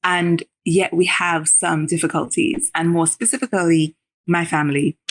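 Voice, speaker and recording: A woman's voice: on the low side, steady tone. A woman in her thirties with a Trinidadian accent, a memoirist guest speaking candidly and reflectively in an interview. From Trinidad and Tobago. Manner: reflective, pausing with sighs and laughs.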